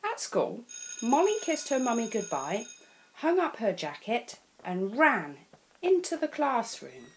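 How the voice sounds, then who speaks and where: English woman, storyteller, children's book, slow, lively swooping pitch, fairly high voice. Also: slight background noise, rough recording. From England. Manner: slow, expressive read-aloud. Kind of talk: children's picture book reading.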